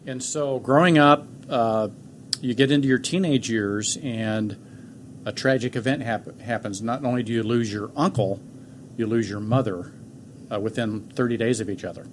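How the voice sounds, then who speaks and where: Enthusiastic male podcast host, mid-pitched, faint hiss in the room. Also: normal speed. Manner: upbeat, encouraging interviewer. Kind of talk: podcast interview. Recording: faint background noise.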